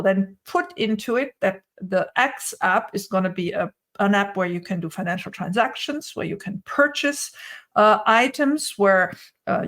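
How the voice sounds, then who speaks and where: A middle-aged woman speaking English as a third language, giving conversational opinion and analysis as an interview guest on a video call, often repeating words as she builds her point. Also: medium pitch. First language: not English (English is her third language). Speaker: guest, retail investor commentator. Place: indoor room at home, on a video call.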